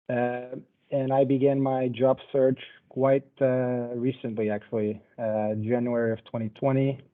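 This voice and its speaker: Man presenting over a tinny video call. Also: medium pitch. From Portugal. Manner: relaxed, conversational, loosely paced. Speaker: panel speaker. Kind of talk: online lecture presentation.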